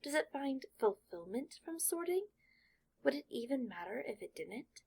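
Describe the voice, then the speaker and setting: Husky woman reading a storybook. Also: high-pitched, sing-song, moderate pace, a little mellow. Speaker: audiobook narrator. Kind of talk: fan fiction audiobook reading.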